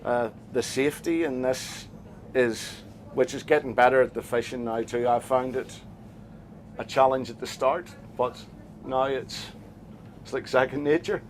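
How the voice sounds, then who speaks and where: A man's voice: medium pitch, steady tone, measured pace, a little hiss or hum. A man with a Northern Irish accent, a boat skipper answering interview questions in a plain, hesitant way with many fillers. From Northern Ireland. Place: on a small boat offshore.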